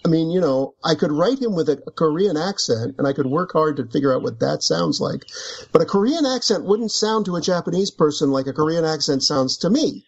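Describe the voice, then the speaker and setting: A man's voice: fairly high pitch, lively intonation, a little fast, gravelly, husky. A man, an author, chatting casually about his book, wandering off on tangents and laughing as he talks. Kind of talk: casual book club chat. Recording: dull lo-fi recording.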